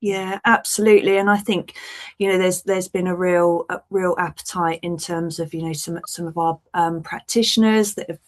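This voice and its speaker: A woman speaking as a principal social worker on a webinar panel, earnest and measured as she explains, over a video call. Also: fairly low pitch, fairly even intonation.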